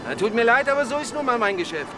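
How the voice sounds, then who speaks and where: High-pitched man in loud traffic. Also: sing-song, very fast. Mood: self-justifying. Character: male film producer suspect, unapologetic, self-justifying. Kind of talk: TV crime drama dialogue. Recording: loud outdoor city traffic noise. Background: urban outdoor and vehicle noise.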